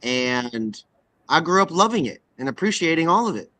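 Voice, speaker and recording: A man's voice: a little high, big pitch swings, normal speed, pushed and bright. A man from the Pacific Northwest hosting a podcast, asking long, rambling questions with enthusiasm. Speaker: podcast host. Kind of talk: conversational podcast interview.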